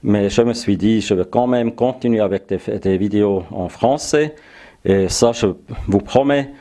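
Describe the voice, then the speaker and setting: A man shows off his workshop gear, speaking slowly and pausing often to hunt for words. His voice sits low and mellow. He talks in a casual, plain way. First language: German. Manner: hesitant, searching for French words. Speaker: YouTube hobby channel host.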